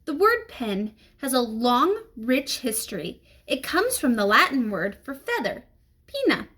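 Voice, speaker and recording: A woman's voice: high-pitched, big pitch swings, fairly bright tone, breathy edge, full character voice. A woman as a stern, formal female schoolteacher. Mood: measured, firm. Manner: formal, measured, admonishing.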